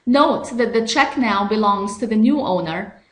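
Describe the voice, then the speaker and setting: A woman reading scripted step-by-step software instructions in a measured, instructional way. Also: medium pitch, roomy sound. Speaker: software tutorial narrator.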